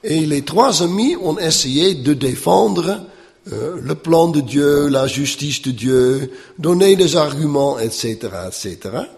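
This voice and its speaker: A man who is not a native speaker, giving a Bible lecture in a slow, halting way, often checking in with "hein?" and filling pauses with "euh". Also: on the high side, lively intonation, darkish tone, long reverb tail. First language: not French.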